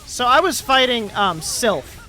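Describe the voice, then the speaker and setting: A man's voice: very high-pitched, sing-song, a little slow, pushed and bright, rough recording. Profane, rambling male game streamer. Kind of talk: casual gaming livestream commentary. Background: game music.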